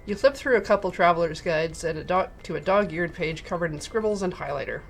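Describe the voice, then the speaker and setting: A woman's voice: on the low side, a little fast, vocal fry, faint music behind. A woman co-hosting a game stream, chatting casually and reading game text aloud. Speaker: streamer and co-host.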